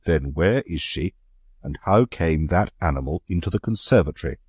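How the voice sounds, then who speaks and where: A man reading a story aloud, voicing an outraged colonel who shouts demands. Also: deep voice, expressive, normal speed, husky, no echo, studio quality. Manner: blustering.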